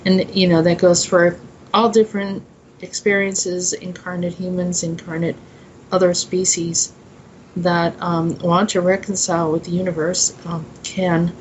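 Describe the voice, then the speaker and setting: A woman giving an informal spiritual talk in a halting, meandering way. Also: lowish voice, flat delivery, a little hiss or hum, low-quality recording. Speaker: host and author presenting her own poem.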